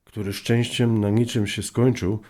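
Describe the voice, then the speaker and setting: A man's voice: on the low side, little pitch movement, moderate pace, darkish tone, close to an everyday voice. A man playing a composer who reads his own letter aloud in a radio play. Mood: reflective.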